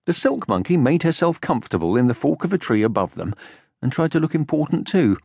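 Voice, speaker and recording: A man narrating a children's audiobook from a script in an expressive storytelling style. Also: fairly high pitch, brisk, gravelly, no echo.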